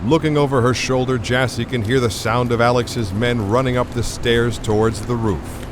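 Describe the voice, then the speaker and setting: A man's voice: mid-range voice, fairly even intonation, normal speed, noisy background. A man narrating a scripted action drama as its storyteller. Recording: very loud music behind the voice. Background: very loud music.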